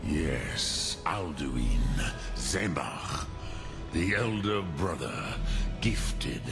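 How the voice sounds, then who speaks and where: An actor voicing an ancient, wise male dragon in a fantasy video game, gravelly and ponderous, patient and philosophical, mixing in archaic words. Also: rumbling low, expressive, very slow, full character voice. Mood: pensive, philosophical. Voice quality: gravelly, weighty. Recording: game audio under loud music.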